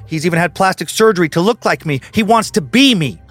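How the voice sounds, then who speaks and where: A man voicing a desperate man who fights and stabs his own double, yelling through gritted teeth. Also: sits high, lively intonation, fast talker, no room sound, soft background music. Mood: lying.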